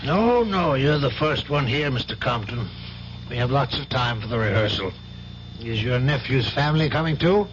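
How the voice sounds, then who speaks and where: An actor playing a courteous, calm priest in a vintage radio drama, speaking politely and reassuringly. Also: male voice, medium pitch, moderate pace, close to an everyday voice. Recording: noisy, dull vintage radio recording with hum.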